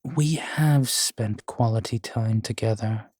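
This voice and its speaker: A man's voice: fairly low pitch, slow, a little mellow, breathy edge, no echo, clean studio sound. A man playing a grumpy, jealous ghost boyfriend in an audio roleplay, with a sardonic, sullen manner. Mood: sullen, pleading.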